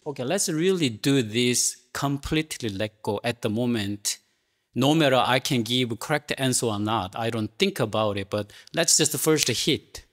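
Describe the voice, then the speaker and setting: A man giving a Zen talk and answering a student's question in halting English, with many restarts and fillers. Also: medium pitch, fairly bright tone. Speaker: Zen teacher. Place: meditation hall.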